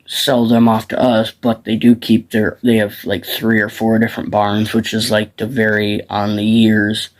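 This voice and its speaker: A man's voice: fairly low pitch, monotone, normal speed, hardly any pauses, poor audio. A teenage boy from the Midwest, rambling casually about farms outdoors at dusk, with lots of fillers and restarts. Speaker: farm vlogger.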